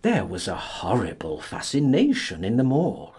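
A man narrating a literary audiobook in a theatrical, expressive, dramatic reading style. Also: medium pitch, moderate pace, husky.

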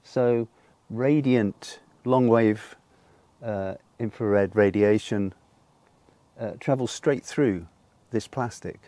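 A man's voice: on the low side, takes it slowly, pauses often, dark tone, no room sound. An older man with a lapel mic, explaining a demonstration outdoors in a garden in a patient way, with frequent ums. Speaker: solar cooking presenter. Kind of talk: unscripted demonstration.

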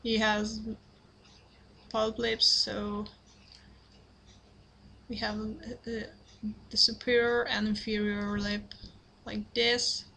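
A young woman from Italy with an Italian accent, talking through a drawing tutorial in halting English, apologetic and full of fillers and restarts. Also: a little high, flat delivery, gravelly, sharp tone, slight background noise, low-quality recording. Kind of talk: hesitant screen-recorded drawing tutorial. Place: at a computer, indoors.

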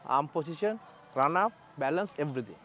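A man's voice: a little high, expressive, a little fast, pushed and bright, lo-fi sound. A Sri Lankan man coaching bowlers at outdoor nets, giving short, direct instructions in broken English and laughing often. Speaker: bowling coach. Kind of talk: coaching at net practice. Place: outdoor cricket nets at a training ground. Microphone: clip-on mic.

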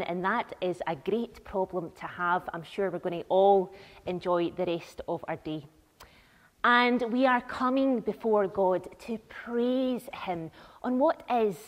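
Young female worship leader, unhurried and deliberate, mid-pitched, recorded with long church reverb. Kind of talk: leading worship, welcome and prayer. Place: large church interior, at the lectern.

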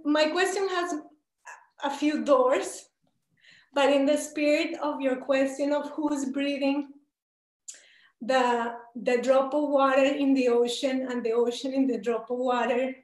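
A woman's voice: high-pitched, echoey room, poor audio. A young woman from Colombia with a Colombian Spanish accent, asking an earnest, hesitant question on a video call from a small room at home. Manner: earnest, hesitant, with many fillers. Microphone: video call laptop.

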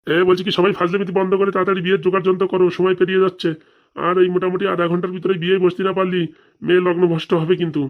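A man's voice: high-pitched, level tone, racing, dull audio. A man voicing a fretful village elder brother in a comic cartoon, fussing and grumbling as he hurries everyone along. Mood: worried, impatient. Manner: fussy, grumbling, hurrying.

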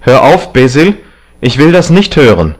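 A man's voice: on the low side, big pitch swings, measured pace, a little mellow. A man voicing a callous young dandy in an audiobook novel, haughty, emphatic and defiant. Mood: indignant, defiant.

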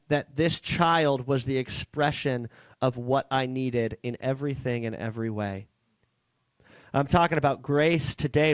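A man in his thirties preaching a sermon at a small coffee-shop church, earnest and halting, with frequent restarts, speaking into a headset mic. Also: medium pitch, dry recording. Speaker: pastor.

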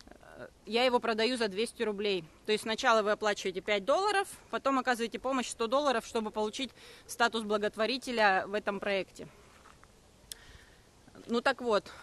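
A woman in her thirties, talking on a live stream while she walks a forest path with her phone held at arm's length. She answers viewers' comments briskly and defensively and hesitates often. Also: on the high side, a bit bright. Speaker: network marketing streamer. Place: walking outdoors on a forest path.

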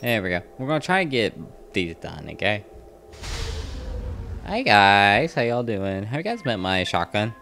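A young man hosting a let's-play, chatting in a rambling, casual way and talking back to the enemies on screen, keeping his naturally loud voice down at home. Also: lowish voice, big pitch swings, a little slow. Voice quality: loud voice held down to a murmur. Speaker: gaming channel host. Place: home room next to a neighbor's bedroom. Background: faint game music.

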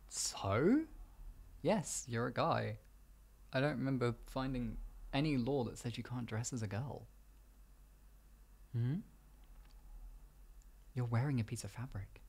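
Husky, gravelly boyfriend played by a man. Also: medium pitch, slow, pauses often, exaggerated voice. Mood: gentle, comforting. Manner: soft, reassuring, intimate, with mild swearing. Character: protective, affectionate boyfriend comforting his insecure partner. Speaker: ASMR roleplay performer. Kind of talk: improvised ASMR comfort roleplay.

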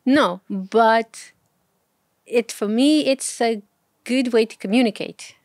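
A woman in her thirties from Portugal with a Portuguese accent, a travel blogger chatting as an interview guest, soft-spoken and hesitant, laughing often. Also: a little high, slow pace, no room sound, clean studio sound. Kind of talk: casual interview chat.

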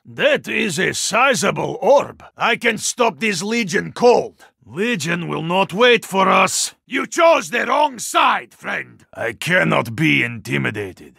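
A mighty Russian super-soldier from a video game, speaking with a Russian accent. The voice is very gravelly and raspy, yet forceful and bright. He is stoic and courteous, declaiming in formal, clipped phrases like a man who relishes battle. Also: sits high, dry recording, clean studio sound, exaggerated voice. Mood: fierce, booming. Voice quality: gravelly, rough. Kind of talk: video game character voice lines.

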